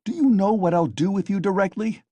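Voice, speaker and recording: A man shouting as an outraged young friend. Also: high voice, expressive, fairly quick, no echo, voice pushed up. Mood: furious. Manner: shouting, scolding. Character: loud, furious, caring young male friend.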